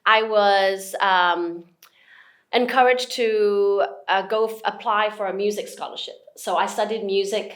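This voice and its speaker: A woman's voice: medium pitch, unhurried. Woman in her forties with an international accent. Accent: mixed British and American. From Hong Kong. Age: 40s. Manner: animated, acts out her own thoughts, frequent restarts and laughs. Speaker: guest. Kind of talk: casual interview conversation.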